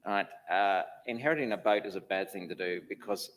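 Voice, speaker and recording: Dry-humoured man with a lowish voice. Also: a little fast, fairly bright tone, low-quality recording. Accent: mixed, Australian with traces of places lived. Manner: dry humour, rambling and good-natured. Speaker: church council chair. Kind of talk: interview answers. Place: church.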